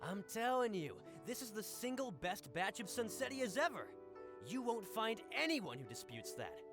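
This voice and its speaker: A man's voice: high-pitched, big pitch swings, normal speed. A man playing a pushy, wheedling fruit merchant with an emphatic sales manner in a video game. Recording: game music behind the voice.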